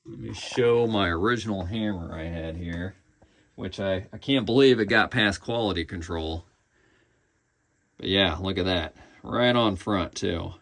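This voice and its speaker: Low-pitched, gravelly man reviewing casually. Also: measured pace, fairly bright tone. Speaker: collector reviewing a collectible bust.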